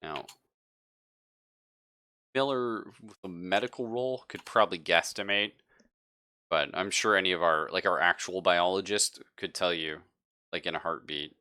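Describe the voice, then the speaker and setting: A man with a deep but bright, forward voice acts as game master for a tabletop horror game. He improvises his narration in a chatty, emphatic way, often paraphrasing as he explains. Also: steady tone, measured pace, long pauses, smooth, dry recording, studio quality.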